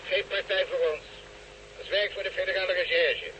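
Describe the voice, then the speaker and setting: A grumpy police commissioner in a radio play. His voice is high and sharp, and he is curt and dismissive. There is a faint hum behind him. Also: steady tone, a little fast, poor audio. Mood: curt, resigned. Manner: curt, impatient. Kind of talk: radio drama acting.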